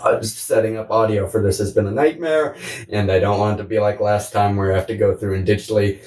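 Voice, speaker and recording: A man's voice: fairly low pitch, steady tone, fast, a little mellow. Man chatting casually in a roomy space. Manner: rambling, profane. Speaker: podcast co-host. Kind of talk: casual comedic chat. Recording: roomy, distant microphone.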